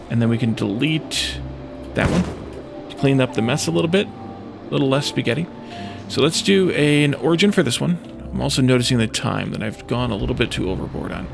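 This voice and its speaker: A man with a gravelly, creaky voice talks through a game as he plays it, with music clearly behind him. He sounds casual and tentative, musing to himself as he works things out. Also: medium pitch. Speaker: let's play host. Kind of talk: casual gameplay commentary, thinking aloud.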